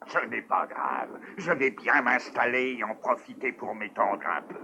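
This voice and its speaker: An actor voicing a weary, exasperated middle-aged neighbour in a dubbed cartoon. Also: male voice, medium pitch, animated, measured pace, creaky, bright, forceful tone, a little hiss or hum, full character voice.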